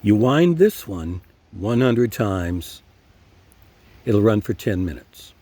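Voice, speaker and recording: An older man presenting a casual product review, speaking deliberately and repeating key phrases for emphasis. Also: on the low side, very animated, warm tone. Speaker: host reviewing gear.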